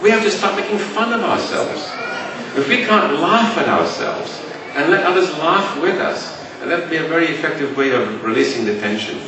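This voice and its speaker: Casual imam giving a talk, laughs a bit, echoey far-off hall sound with hiss. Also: male voice, fairly high pitch, moderate pace. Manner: relaxed, chuckling, repeats words. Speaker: imam speaker answering questions. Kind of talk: public talk and Q&A. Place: large mosque hall.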